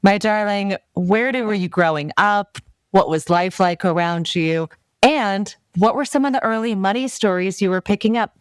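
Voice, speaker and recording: Effusive woman podcast host, smooth voice. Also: mid-range voice, no echo. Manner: gushing, warm compliments, slow emphatic questions. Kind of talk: effusive interview hosting.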